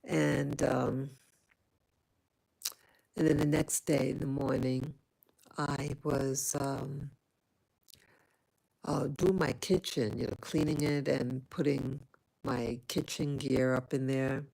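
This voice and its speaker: A woman's voice: rumbling low, fairly even intonation, slow, creaky, pauses often, warm tone, low-quality recording. A woman from New York City chatting casually to her viewers, rambling with many fillers and restarts. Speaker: vlogger. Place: home in Rwanda.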